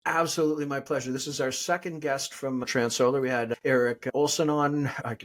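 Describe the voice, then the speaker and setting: A man's voice: mid-range voice, fairly even intonation, brisk. A middle-aged man hosting a podcast, friendly and joking with his guest. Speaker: podcast host. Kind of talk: podcast interview.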